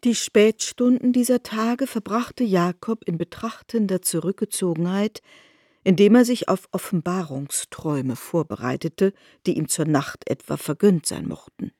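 A woman's voice: medium pitch, measured pace, mellow, dry recording, clean studio sound. A woman narrating a literary work for radio in a composed, formal manner. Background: faint music.

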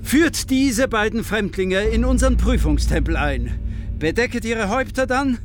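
An actor playing a lofty high priest and ruler, stern but benevolent, in measured declamatory radio drama speech. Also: male voice, animated, music in the background. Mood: solemn. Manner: measured, solemn, authoritative. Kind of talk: declamatory classical stage speech in a radio play.

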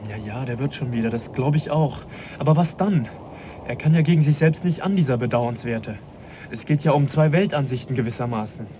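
An actor playing an earnest, courteous young gentleman naturalist in a radio drama, questioning and polite yet persistent. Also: medium pitch, a little fast, dull audio, a little hiss or hum, natural voice. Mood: troubled, reflective.

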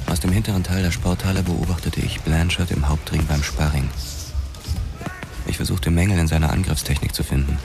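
An actor playing a laconic boxer-policeman who tells his story in a measured, matter-of-fact noir radio drama narration. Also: a bass voice, fairly even intonation, music in the background. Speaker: first-person narrator.

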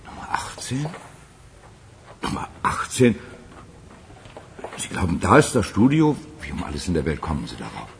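A man acting a gruff, experienced police chief under strain in a German radio crime drama. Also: deep voice, wildly swooping pitch, normal speed, pauses often, a little hiss or hum, full character voice. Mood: intrigued.

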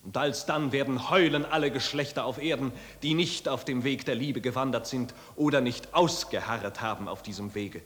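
A man's voice: medium pitch, normal speed, few pauses, a bit bright, lightly acted. A man acting a solemn, fervent preacher in a 1950s radio drama, declaiming a sermon. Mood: grave, prophetic. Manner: solemn, declamatory, rising to fervour. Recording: long hall reverb, 1950s radio production.

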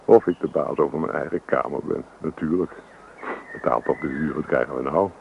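A man's voice: rumbling low, a little fast, creaky, heavily acted. A man playing a carefree, teasing young suitor in a Dutch radio drama, coaxing and nonchalant. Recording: muffled radio recording, buzzy noise.

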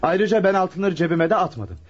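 An actor playing a shrewd, self-assured amateur detective in a Turkish radio drama, speaking in a measured, polite, slightly sly way. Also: male voice, high-pitched, expressive, husky. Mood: self-justifying. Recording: muffled lo-fi radio drama recording.

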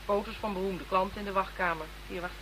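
A woman's voice: medium pitch, steady tone, fast talker, lightly acted. A woman playing a composed, dryly witty police detective in a radio play, cool in manner. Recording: noisy radio recording with hum.

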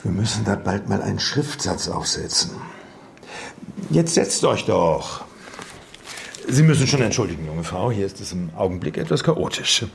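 A man playing a genial, seasoned star lawyer in a radio drama, husky and gravelly, with a jovial, avuncular manner. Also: lowish voice, very animated, moderate pace, a little hiss or hum. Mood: jovial, welcoming. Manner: jovial, avuncular, plain-spoken. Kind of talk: naturalistic German radio drama acting.

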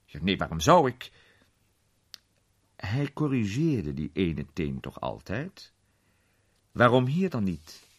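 Husky, deep-voiced Varden, an indignant raconteur acted by a man. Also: sing-song, takes it slowly, long pauses, exaggerated voice. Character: Varden, agitated man recounting his frightening escape as anecdote. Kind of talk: dramatized storybook reading.

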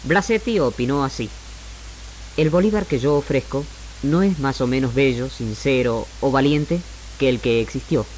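A man presenting scripted, literary radio narration in a measured manner, in the style of the 1960s. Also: medium pitch, a little mellow, a little hiss or hum, poor audio. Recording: archived 1960s AM radio recording. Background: music.

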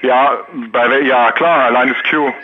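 A man's voice: mid-range voice, fairly even intonation, normal speed. A man speaking casually on a telephone call. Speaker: band member answering the phone. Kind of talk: casual phone chat. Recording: thin phone line with music.

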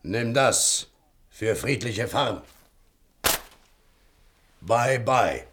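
An actor playing a chief's solemn envoy who speaks in terse broken phrases in a German radio play. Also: male voice, medium pitch, lively intonation, drawn-out words, a bit bright.

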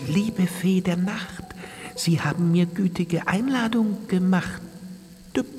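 An actress playing a mild, fussy rain spirit in a children's radio play, dainty and plaintive, dotting the verse with dripping sounds. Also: female voice, medium pitch, dark tone, in a large hall.

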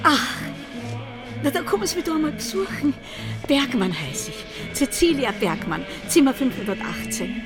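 An elderly woman chats coquettishly and eagerly. Her voice is fairly high with big lilting swings, over loud dance music. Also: big pitch swings, normal speed. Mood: flirtatious. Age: older.

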